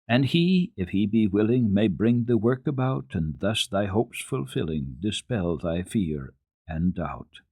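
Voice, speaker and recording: An earnest, trusting little girl from a children's storybook, acted out by a man, speaking with simple, solemn assurance. The voice is husky and recorded very dry, with little room around it. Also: lowish voice, expressive, measured pace, darkish tone, dry recording, exaggerated voice. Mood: solemn, reciting.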